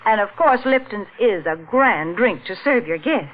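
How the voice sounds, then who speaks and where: A woman's voice: medium pitch, lively intonation, normal speed, dull audio, lightly acted. A woman playing a sweet, practical sponsor spokeswoman in 1940s radio, cheerful and prim in banter and ads. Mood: cheerful selling. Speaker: co-host and sponsor announcer. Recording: band-limited vintage radio.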